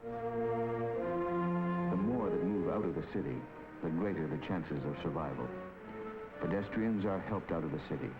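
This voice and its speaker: Deep-voiced 1950s documentary narrator, man. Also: big pitch swings. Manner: measured, authoritative. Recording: dull 1950s film soundtrack with music.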